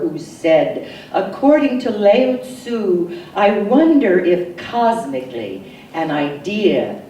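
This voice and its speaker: A woman poet recites in a mellow, fairly low voice, lingering dramatically over each phrase with deliberate pauses. Her delivery is expressive and unhurried. The recording is very roomy and distant, with faint noise behind her. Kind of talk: poetry reading, recited expressively.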